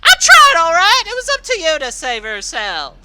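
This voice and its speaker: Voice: mid-range voice, sing-song, moderate pace, heavy background noise, voice pushed up, close to an everyday voice. A storyteller playing a cruel, furious grown pegasus mare who laughs maniacally and screams with rage. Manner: manic laughter and enraged screaming. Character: villainous adult female pegasus, cruel and furious.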